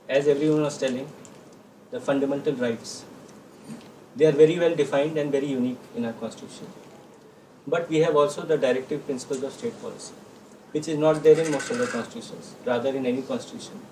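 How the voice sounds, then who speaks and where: A man's voice: fairly high pitch, a little mellow. A man with an Indian accent giving a formal ceremonial speech as a company official. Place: corporate hall. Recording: echoey hall, distant mic, faint noise.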